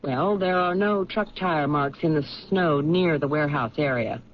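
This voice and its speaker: An actress playing a sharp, persistent police lieutenant in a radio drama, questioning in a matter-of-fact way. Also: female voice, sits low, moderate pace, close to an everyday voice. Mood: businesslike. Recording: muffled, noisy old radio drama recording.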